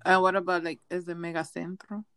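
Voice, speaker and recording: Blunt, bright-voiced female co-host. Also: lowish voice, flat delivery, fairly quick, clear, resonant tone, no echo, clean studio sound. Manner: blunt, opinionated, casual slang. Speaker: podcast co-host. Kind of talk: casual podcast chat.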